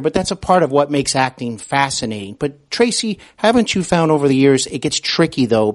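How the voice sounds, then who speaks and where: Guy hosting a podcast interview, mid-range voice, wordy and affirming, feels his way through sentences. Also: mid-range voice. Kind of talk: conversational interview.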